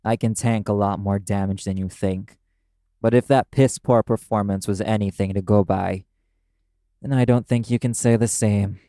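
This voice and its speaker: A brash male demon acted by a man in an audio roleplay. The voice sits low, with a mellow, dark tone. He is cynical and scornful, swearing bluntly at whoever he addresses. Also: steady tone, moderate pace, dry recording, clean studio sound, lightly acted. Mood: scornful, cold.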